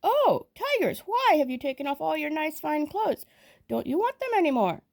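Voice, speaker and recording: A woman's voice: sing-song, moderate pace, creaky, exaggerated voice. A woman voicing a small, polite boy who pleads and bargains in a storybook read-aloud. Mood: calling out. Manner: pleading, polite, higher-voiced.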